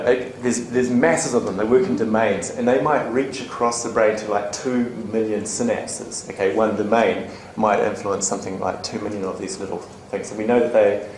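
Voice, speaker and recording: Lively man lecturing in an echoey room. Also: fairly low pitch. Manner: animated, chatty, asks the audience questions, many "okay?" and "right?" tags. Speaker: conference presenter. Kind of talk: live conference talk to educators. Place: conference room, live audience. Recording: echoey conference room, faint ambient noise.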